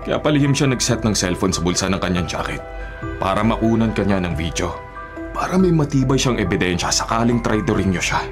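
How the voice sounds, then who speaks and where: A man's voice: on the low side, animated, brisk, music in the background, natural voice. An actor playing a righteous, accusing man in a Filipino radio drama, earnest and stern. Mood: stern accusation. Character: righteous, accusing man confronting a criminal woman.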